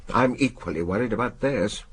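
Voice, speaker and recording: An English actor in a radio drama playing a brusque older spy chief, clipped, gruff and commanding. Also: male voice, lowish voice, fairly quick, a bit bright. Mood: brisk, confidential.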